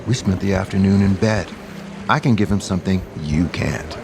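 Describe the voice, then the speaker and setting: Very low-voiced, proud man. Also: moderate pace, gravelly, noisy background. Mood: smugly defiant. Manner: assertive, sardonic, dramatic. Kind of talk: audio soap opera acting.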